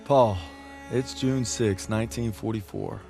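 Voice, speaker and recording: Give the game an earnest young American soldier, reading his letter home in voice-over. His voice is low with a gravelly creak, informal and reflective, over prominent music. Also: huge pitch swings, measured pace, full character voice. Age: young adult. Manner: informal, plainspoken. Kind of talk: reflective scripted narration.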